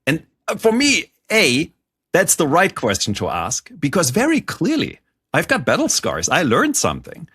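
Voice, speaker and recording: Middle-aged German-accented male guest, husky low voice, dry close sound, chatty storyteller. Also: normal speed, no room sound. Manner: animated storyteller, frequent "like" and restarts. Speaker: guest author. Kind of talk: conversational interview.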